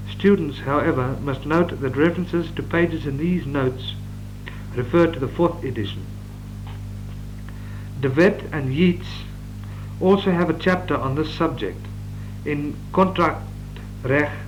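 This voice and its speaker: Guy reading law notes slow and careful, old reel tape with a hum. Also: a little high, dull audio. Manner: measured dictation with occasional stumbles. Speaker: law lecturer.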